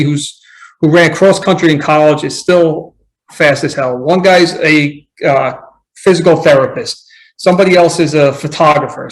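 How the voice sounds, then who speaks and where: A man with a slightly raised voice speaks earnestly about running as a podcast guest. He reflects as he goes, doubling back and restarting his sentences in relaxed conversation. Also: a little high, poor audio. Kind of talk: casual conversation.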